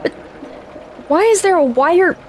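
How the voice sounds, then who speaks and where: Rattled commander lady done by a woman, high voice, talks slow and halting. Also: expressive, a little hiss or hum. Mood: shaken. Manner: halting, shaken. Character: shaken woman commander, out of her depth.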